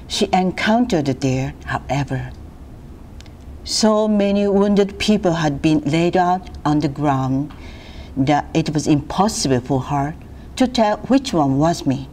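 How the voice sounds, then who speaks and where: Slow-paced woman reading a story aloud. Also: deep voice. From Japan. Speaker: volunteer storyteller. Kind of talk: read-aloud first-person survivor narrative. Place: classroom, indoors. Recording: steady background room noise.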